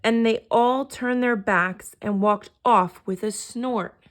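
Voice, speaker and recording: A woman reading a children's picture book aloud as an expressive storyteller. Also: a little high, takes it slowly.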